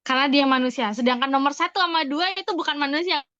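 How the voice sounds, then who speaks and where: A woman's voice: high-pitched, fast talker, sharp tone, no echo. An Indonesian woman chatting casually as a guest on a podcast. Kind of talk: casual chat. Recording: slightly dull, dry close sound.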